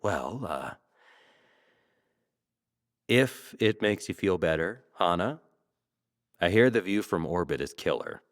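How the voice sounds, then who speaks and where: A man playing a brash, self-adoring talk show host in an audio drama, showy, flattering and teasing. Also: low voice, slow, long pauses, heavily acted. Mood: wry, cajoling.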